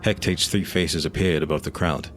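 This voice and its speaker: A man narrating a scripted fantasy audio drama in a measured, solemn way, over faint noise and ambience. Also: low-pitched, fairly even intonation, a bit bright, soft background music.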